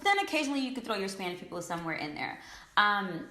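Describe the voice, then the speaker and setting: A young woman guest in a casual interview, relaxed, with many likes and ums. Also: medium pitch, fast. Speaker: guest, makeup influencer. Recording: echoey room.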